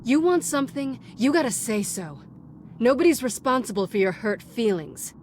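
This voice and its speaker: An actress voices a defiant, cool-headed woman from a dramatic video game. Her high voice is clipped and challenging, standing her ground. A faint noise sits behind it. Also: animated, normal speed, natural voice. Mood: cool, irritated.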